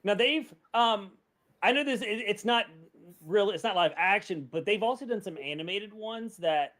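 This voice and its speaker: Guy podcast panelist, voice a bit high, rambly and casual, thinking out loud. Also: animated, moderate pace. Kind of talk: casual chat.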